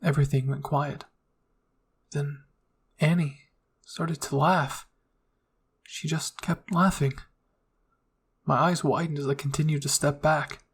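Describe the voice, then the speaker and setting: A man's voice: fairly high pitch, steady tone, takes it slowly, pauses often, breathy edge. A man reading scripted first-person roleplay narration as a storyteller.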